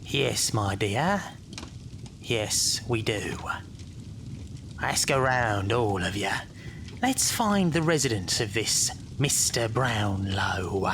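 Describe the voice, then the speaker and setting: A gravelly old crime boss. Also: male voice, fairly low pitch, lively intonation, takes it slowly, background noise. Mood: sly, commanding. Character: scheming old male crime boss of thieves. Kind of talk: audio drama acting.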